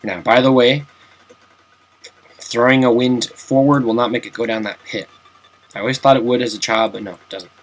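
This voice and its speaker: A young man talks through a retro game in a relaxed, rambling way. He cracks self-deprecating jokes and wanders into asides. His voice sits at an ordinary middle pitch. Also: normal speed, pauses often. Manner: chatty, self-mocking, jokey asides. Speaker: let's play commentator. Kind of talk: casual gameplay commentary.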